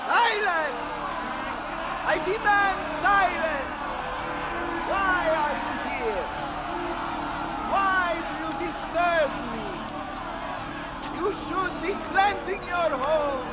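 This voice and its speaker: An actor playing a fanatical, domineering preacher-tyrant in a video game, declaiming imperiously in a ranting manner. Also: male voice, extremely high pitch, very slow, long pauses, exaggerated voice, low-quality recording. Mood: imperious fury. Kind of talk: theatrical video game voice acting. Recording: loud music and crowd behind. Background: music and crowd.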